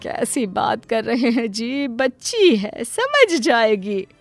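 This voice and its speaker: Woman doing a cartoon mother, middle-aged, tearful, pleading, doting, high voice. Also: big pitch swings, slow, creaky, no room sound, faint music behind, full character voice. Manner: wailing, pleading, indulgent toward her daughter. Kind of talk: cartoon storybook voice acting.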